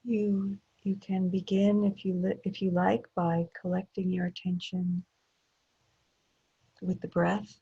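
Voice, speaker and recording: Slow, husky woman guiding meditation, muffled audio. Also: fairly low pitch, little pitch movement. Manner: gentle, unhurried, with long pauses between short phrases. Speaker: meditation teacher. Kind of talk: guided meditation.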